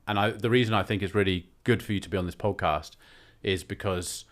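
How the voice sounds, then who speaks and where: A man's voice: deep voice, steady tone, fairly quick. A man hosting a business podcast, chatty and joking, asking long, rambling questions. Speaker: podcast host. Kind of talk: casual business podcast chat.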